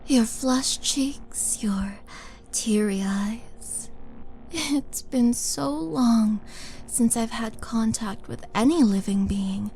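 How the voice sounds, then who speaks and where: A woman's voice: on the high side, darkish tone, a little hiss or hum. A woman voicing a towering, bloodthirsty half-orc murderess in an intimate audio roleplay, possessive, teasing and dramatic, sometimes dropping toward a whisper. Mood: seductive, softening. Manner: slow, dramatic, menacing and taunting, breathy dips into whisper. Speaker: roleplay voice performer. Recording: background music under voice.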